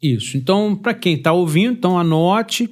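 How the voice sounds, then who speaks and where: Male Brazilian podcast host, high voice, lively and chatty. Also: brisk. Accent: Brazilian Portuguese. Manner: chatty, animated, laughs easily. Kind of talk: casual chat.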